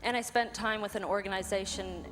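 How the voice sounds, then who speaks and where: A woman's voice: medium pitch, fairly even intonation, a little fast. Female guest speaker, twenties, mid-range voice, phrased testimony in a reverberant hall. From Ireland. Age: 20s. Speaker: anti-trafficking worker, guest speaker. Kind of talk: personal testimony in short phrases for interpreter. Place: large event hall. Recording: hall reverb, music behind, live PA. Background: music.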